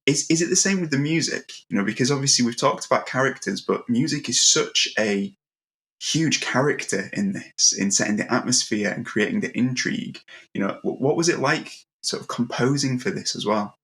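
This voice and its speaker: A man hosting a casual interview, haltingly working his way through long questions with repeated words. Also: mid-range voice, expressive, a bit bright. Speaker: interviewer, host.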